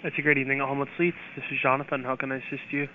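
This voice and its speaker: A man's voice: medium pitch, little pitch movement, very fast, background noise. A man working as a hotel clerk, talking casually, politely and in an easygoing way on the phone. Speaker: hotel front desk clerk. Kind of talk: casual phone customer service. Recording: narrow phone line.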